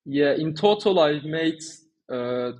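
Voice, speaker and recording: A man's voice: a little high, lively intonation, slow, a little mellow. A man, answering interview questions in a conversational way. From Turkey and France. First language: Turkish and French. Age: 20s. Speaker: interview guest, small agency owner.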